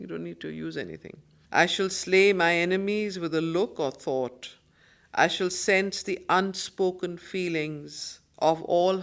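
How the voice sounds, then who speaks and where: A woman with an Indian English accent facilitating a study circle, reciting poetry and commenting on it in a deliberate, emphatic manner. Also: on the low side. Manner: deliberate, emphatic, repeats phrases.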